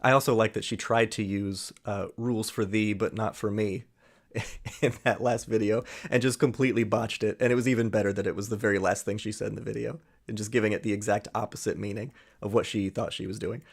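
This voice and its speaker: Sarcastic man with a low voice. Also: steady tone, a little fast, gravelly, slightly rough. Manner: dry, mocking asides. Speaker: reaction commentator. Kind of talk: sarcastic commentary over a played video.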